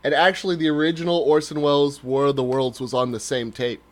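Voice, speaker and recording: A man's voice: medium pitch, level tone, fast talker. A man co-hosting a talk stream in a blunt, casual way, swearing freely. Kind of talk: casual, profane chat.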